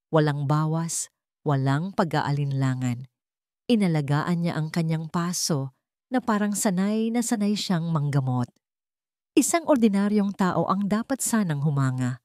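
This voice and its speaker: Female narrator, lower register, dry close sound, measured suspenseful delivery for serialized romance drama. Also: a little mellow, no echo. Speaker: audio story narrator.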